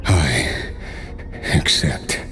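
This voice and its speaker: An actor voicing a grave, weary warrior in an anime game, solemn and formal in manner. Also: male voice, extremely slow. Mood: solemn acceptance. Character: grave, weary male warrior burdened by a curse. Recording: game music behind the voice.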